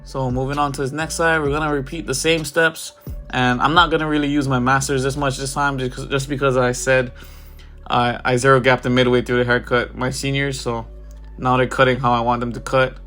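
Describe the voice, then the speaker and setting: A man's voice: medium pitch, flat delivery, a little fast, a bit bright, soft background music. A barber with a congested, stuffy voice, talking casually over his haircut tutorial. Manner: casual, loose, filler-heavy walkthrough. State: congested from a cold.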